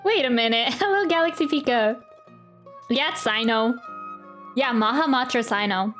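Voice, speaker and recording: Female game streamer, higher-pitched, informal and playful reactions with faint game music underneath. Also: lively intonation, moderate pace, fairly bright tone. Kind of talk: casual live gameplay commentary.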